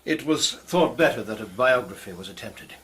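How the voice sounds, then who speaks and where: A man's voice: mid-range voice, animated, moderate pace, fairly bright tone, a little hiss or hum, lightly acted, poor audio. An actor playing a guarded, widowed Victorian clergyman in a period radio drama, formal, stiff and hesitant in his speech. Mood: guarded disapproval.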